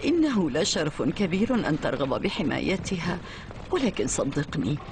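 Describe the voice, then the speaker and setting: Dignified, expressive old duchess. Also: female voice, sits low, moderate pace, noisy background. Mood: gracious, earnest. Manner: formal, gracious. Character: dignified old duchess, gracious great-grandmother. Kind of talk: Arabic cartoon dub acting.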